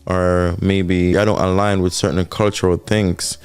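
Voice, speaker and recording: A man's voice: sits low, little pitch movement, a little slow, clear, resonant tone. A man from Jamaica with a Jamaican accent, co-hosting a couple's podcast and reflecting earnestly on relationships. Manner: earnest, reflective, repeats himself for emphasis. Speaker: podcast co-host. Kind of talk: casual couple podcast talk.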